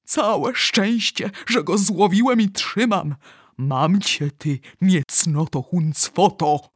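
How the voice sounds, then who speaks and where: A woman voicing an excitable, bossy male giant in a storybook, exclaiming theatrically in garbled made-up words. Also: lowish voice, slow, breathy edge, no room sound, clean studio sound. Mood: dramatic despair. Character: excitable male giant dream-catcher, bossy, dramatic, word-mangling.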